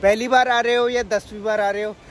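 A man's voice: sits high, fairly quick. A young man chatting playfully to a phone camera he holds at arm's length outdoors on a beach at dawn. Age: young adult. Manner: lively, playful, teasing. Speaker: vlogger. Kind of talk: casual vlog chat.

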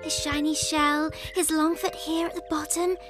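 A woman's voice: high-pitched, flat delivery, normal speed, music in the background, natural voice. A woman voicing a sweet, fretful, childlike girl rabbit in a preschool cartoon. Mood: wistful, worried. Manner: plaintive, childlike. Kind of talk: preschool animated show dialogue.

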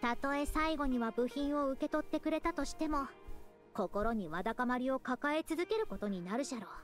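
A proud young girl scholar in an anime game, high and expressive. She speaks with the archaic, self-assured phrasing of an old sage, over faint music. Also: very fast. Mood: solemn, wistful. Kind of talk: Japanese anime game acting.

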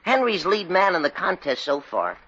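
Dopey eager elevator operator, husky, sharp tone, hesitant, 1940s radio comedy, dull old recording. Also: male voice, sits high, very animated, normal speed, full character voice. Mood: explaining. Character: slow-witted, bashful, eager male elevator operator.

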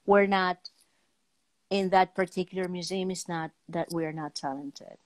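A woman in her fifties hosting a casual interview on a video call, pausing often as she searches for words. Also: fairly low pitch, steady tone, dry recording, low-quality recording. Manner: hesitant, pausing mid-sentence to search for words. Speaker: talk show host. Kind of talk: casual interview chat about art. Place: indoor room at home, on a video call.

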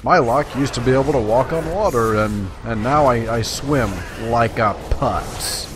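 A man's voice: medium pitch, a little slow, background noise. A man giving casual, folksy gameplay commentary, talking to his viewers. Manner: folksy, conversational, addresses viewers. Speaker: let's play host. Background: video game sounds.

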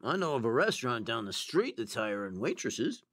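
A cheerful, helpful male coordinator in a radio drama. He swoops through his lines with animated intonation, in a close, dry studio sound. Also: medium pitch, moderate pace, no room sound.

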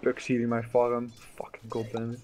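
A man's voice: medium pitch, completely flat delivery, normal speed, a little mellow. A young man chatting casually with friends while gaming, joking, laughing and swearing. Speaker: streamer playing with friends. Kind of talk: casual gaming chat on voice call.

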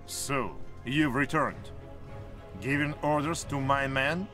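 A man's voice: mid-range voice, takes it slowly, music in the background, close to an everyday voice. A man playing a proud, imperious monarch in a video game, with a stern, haughty and formal delivery. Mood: displeased. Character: proud, imperious male monarch, the Tsar of Russia. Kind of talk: video game cutscene acting.

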